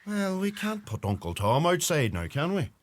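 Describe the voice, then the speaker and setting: A man's voice: fairly high pitch, huge pitch swings, normal speed. Guy acting young irish farmer from donegal, plain-spoken and dry, radio play, bit of hiss behind. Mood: wry. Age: young adult.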